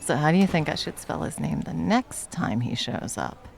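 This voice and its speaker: Actress as nonchalant villain moonlighting as cafe worker, comedy ASMR; gravelly fry, animated pitch, deadpan teasing. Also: female voice, normal speed, slight background noise, heavily acted. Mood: casual, deadpan. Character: smug, deadpan young villain working as barista. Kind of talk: comedic ASMR roleplay acting.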